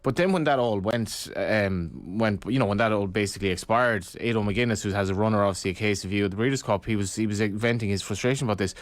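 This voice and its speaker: Fast-talking argumentative racing pundit. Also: male voice, lowish voice, no echo. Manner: argumentative, many restarts, insistent. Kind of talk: radio studio debate.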